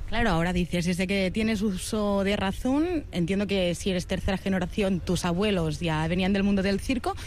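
A woman's voice: medium pitch, moderate pace, few pauses, darkish tone. Chatty Catalan woman interviewer, background noise. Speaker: interviewer and radio host. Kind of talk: conversational interview.

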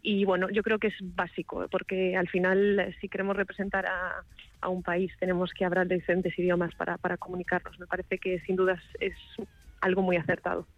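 A woman's voice: on the low side, fairly quick, dry recording, lo-fi sound. A woman in her thirties, a sommelier, answering, thoughtful and full of small restarts. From Galicia, Spain. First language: Galician. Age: 30s. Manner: thoughtful, restarts often, even delivery. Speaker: sommelier interviewee. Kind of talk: radio interview answers.

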